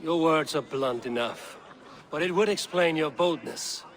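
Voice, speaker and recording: Proud, high-pitched Viking warrior leader. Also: male voice, fairly bright tone, low-quality recording. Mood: cool, firm. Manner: measured, stately, formal speech. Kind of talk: video game voice acting.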